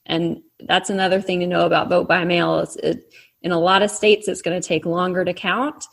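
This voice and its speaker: A woman with a faint Texas accent talks through her subject in a friendly, patient way, the way you would explain something to a child. Her voice sits low and has a gravelly edge to it. It comes across as a casual interview chat. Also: flat delivery, moderate pace. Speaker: author guest.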